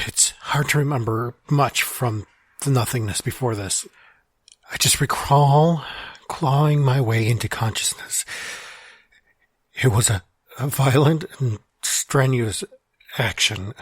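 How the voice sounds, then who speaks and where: An actor playing a lonely man trapped inside his own mind, speaking in a halting, stammering way with frequent sighs. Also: mid-range voice, breathy edge, no room sound. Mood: despairing. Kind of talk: audio drama monologue.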